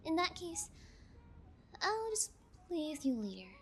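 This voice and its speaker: Woman acting callous, high-voiced female kidnapper. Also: big pitch swings, fairly bright tone, soft background music, heavily acted. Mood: playful, smug. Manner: taunting, nonchalant, slow and deliberate. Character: callous female yangire kidnapper, playfully menacing, bullying sociopath. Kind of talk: ASMR audio roleplay acting.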